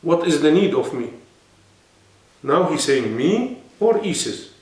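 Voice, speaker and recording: A man's voice: fairly high pitch, very animated, takes it slowly, darkish tone. A man with an Arabic accent, speaking non-native English and explaining earnestly as a guest in a serious interview. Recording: echoey room, mic at a distance.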